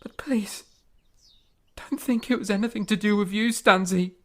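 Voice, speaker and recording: A woman's voice: mid-range voice, fairly even intonation, normal speed, fairly bright tone, lightly acted. A woman voicing a remorseful, hot-tempered young male composer who stammers, pleads and lashes out at himself in a stage-play style. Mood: pleading. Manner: stammering, pleading, breaks into frantic self-scolding.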